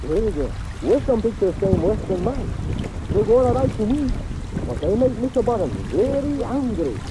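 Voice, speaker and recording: A man playing a deferential, excitable houseboy in an old radio drama, speaking polite, eager broken English. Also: high voice, moderate pace, background noise. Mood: eager, puzzled. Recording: old radio broadcast under loud rain and water.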